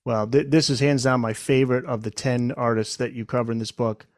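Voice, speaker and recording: A man's voice: medium pitch, little pitch movement, brisk, no room sound, clean studio sound. A man hosting a casual podcast interview. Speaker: podcast host.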